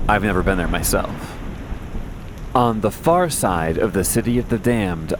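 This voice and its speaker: A man acts out a lone wasteland radio host in an audio drama, with a mid-pitched voice. He rambles conversationally, wry and a little anxious, with self-deprecating deadpan. Noticeable ambient noise sits behind him. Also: lightly acted. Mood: uneasy. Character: wry, anxious post-apocalyptic male radio host wandering dangerous wastelands. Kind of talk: conversational audio drama monologue, answering listener messages.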